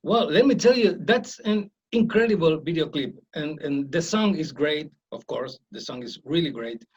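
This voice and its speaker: A man hosting a radio interview in careful, hesitant English full of pauses and "uh"s. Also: a little high, expressive, breathy edge, lo-fi sound. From Argentina. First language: Spanish. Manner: hesitant, halting English with many "uh"s. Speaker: radio host.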